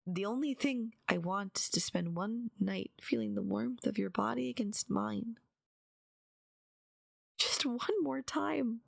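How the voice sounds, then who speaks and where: Woman acting a fragile yandere, high creaky voice. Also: no echo, studio quality, exaggerated voice. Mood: pleading, resigned. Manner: halting, breathy half-whispers, emotionally pleading. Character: despairing, lovesick yandere woman kidnapper, fragile and pleading. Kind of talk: intimate ASMR-style dramatic audio roleplay.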